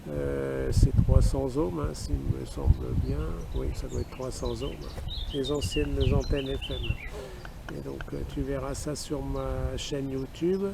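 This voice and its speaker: Creaky-voiced Frenchman outdoors, noisy background. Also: mid-range voice, level tone, slow pace. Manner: spells call signs in phonetic alphabet. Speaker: amateur radio operator. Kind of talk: radio contact chat. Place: outdoors in a wooded park. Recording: outdoor recording with clear background noise.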